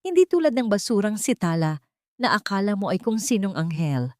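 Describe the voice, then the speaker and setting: A woman's voice: medium pitch, very animated, a little fast, no room sound, studio quality, voice pushed up, natural voice. Woman playing a proud, snobbish rich matriarch. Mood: proud disdain. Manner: haughty, disdainful.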